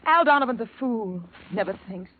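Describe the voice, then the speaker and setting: A woman's voice: on the high side, animated, moderate pace, natural voice. A woman playing a cool, evasive widow in a 1950s radio detective drama, speaking in a dramatic, guarded way. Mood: agitated, pleading. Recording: tinny, noisy vintage radio recording.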